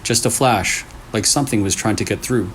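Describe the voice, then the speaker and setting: A man's voice: on the low side, brisk, a bit bright, slight background noise. A man hosting and reading scary stories aloud in short, suspenseful phrases, with casual asides. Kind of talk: storytelling with casual reactions between stories.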